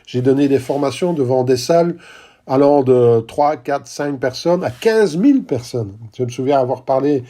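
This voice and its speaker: A man's voice: medium pitch, expressive, moderate pace, dark tone. A middle-aged man hosting a podcast monologue as a coach, talking straight to listeners, emphatic and repeating key phrases. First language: not English. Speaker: podcast host and business coach.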